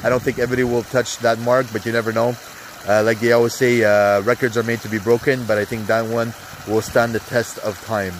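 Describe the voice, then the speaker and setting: Congested man, mid-pitched, casual rambling sports picks vlog from an outdoor spa, faint background noise. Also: level tone, a little fast, low-quality recording. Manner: rambling, many fillers, hyped asides. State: congested, sore throat. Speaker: sports betting vlogger. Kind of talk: casual NFL picks rundown. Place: outdoor hot tub on a cold day.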